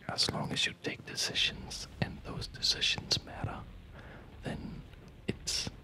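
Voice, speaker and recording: A man whispering a rambling, reflective ASMR commentary while he plays a game, with a breathy voice.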